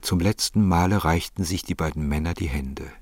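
A man's voice: low voice, moderate pace, no echo, studio quality. A man narrating a literary story as scripted narration.

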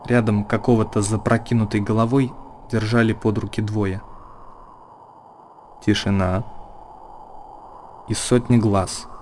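Актёр в роли сдержанный рассказчик с мягким тембром. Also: male voice, lowish voice, little pitch movement, darkish tone, a little hiss or hum, lightly acted. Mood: solemn. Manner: measured, short solemn phrases. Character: solemn storyteller of a monastery tale. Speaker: narrator. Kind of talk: literary radio drama narration.

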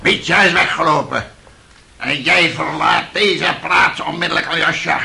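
Gravelly, blustering old father. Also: on the high side, unhurried, sharp tone, full character voice. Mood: scornful, blustering. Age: older. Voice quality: gravelly, raspy. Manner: blustering, mocking. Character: coarse, bossy old father, hard-drinking and scornful. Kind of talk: radio drama acting.

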